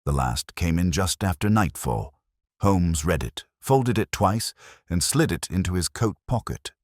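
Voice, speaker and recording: A man with an extremely low voice narrates a detective story in measured, literary phrases. His pitch moves expressively up and down, and the sound is clean and dry, recorded close without room echo. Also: expressive. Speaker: audiobook narrator. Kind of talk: scripted first-person mystery story narration.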